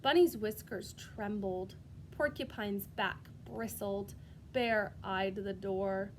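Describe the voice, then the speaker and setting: A woman reading a storybook aloud as a preschool teacher, expressive and sing-song, pausing to put questions directly to young children. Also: medium pitch, a little hiss or hum.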